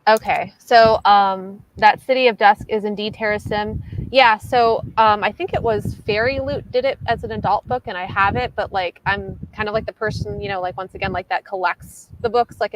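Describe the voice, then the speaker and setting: A woman's voice: medium pitch, steady tone, moderate pace, poor audio. A woman with a mid-range voice chats casually about books on a livestream. She rambles and hesitates, leaning on "like" as she goes. Background noise sits under the recording. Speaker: co-host of a reading livestream. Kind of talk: casual book chat.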